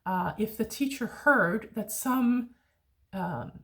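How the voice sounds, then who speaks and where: A Danish woman who tutors Danish, talking casually to learners, chatty and reflective, often pausing to search for words. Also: mid-range voice, fairly bright tone. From Denmark. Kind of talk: casual online lesson talk.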